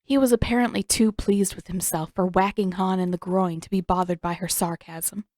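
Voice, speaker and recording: A woman reading scripted fiction as narrator, with an expressive, wry delivery. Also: fairly low pitch, normal speed, no echo, studio quality. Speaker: podfic narrator and author reading her own story. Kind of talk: scripted story narration with character voices.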